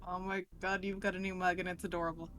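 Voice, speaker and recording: A woman's voice: fairly low pitch, level tone, fast talker, fairly bright tone. Woman casually chatting at a game table.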